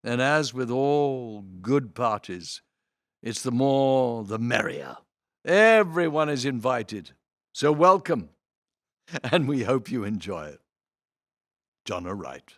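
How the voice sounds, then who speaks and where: Expressive man reading aloud, theatrical and lively. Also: mid-range voice, no room sound, studio quality. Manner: theatrical, deliberate phrasing with dramatic emphasis. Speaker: reader of theatre programme notes. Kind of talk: expressive read-aloud of programme notes with brief asides.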